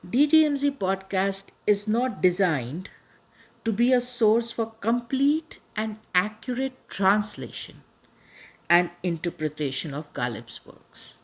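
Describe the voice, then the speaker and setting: A woman hosting a podcast, giving a measured, earnest scripted introduction with emphatic stresses. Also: mid-range voice, sing-song. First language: not Urdu. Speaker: podcast host.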